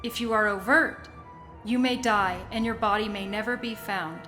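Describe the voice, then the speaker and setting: A woman playing an older Sicilian woman who narrates her youth in a radio play, measured, confiding and wistful. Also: medium pitch, fairly even intonation, bright, forceful tone, faint music behind, natural voice. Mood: knowing, wry.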